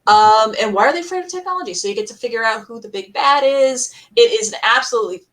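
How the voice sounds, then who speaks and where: A woman panelist talking casually and enthusiastically about audio dramas in a rambling, animated way. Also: a little high, quick pace. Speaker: podcast panelist. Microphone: distant computer mic on a virtual panel. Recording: distant microphone.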